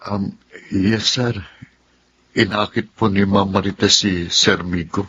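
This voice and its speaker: A man's voice: deep voice, fairly even intonation, slow pace, bright, forceful tone, slightly rough, muffled recording, heavily acted. A man playing a timid, polite, deferential worker in a Tagalog drama. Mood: meek. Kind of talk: Tagalog radio-style drama acting.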